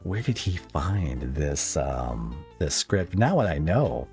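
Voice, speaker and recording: A man hosting a casual podcast, talking in a rambling, halting way and repeating words as he thinks. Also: extremely low pitch, very animated, creaky, a little mellow, soft background music. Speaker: podcast host interviewing a guest.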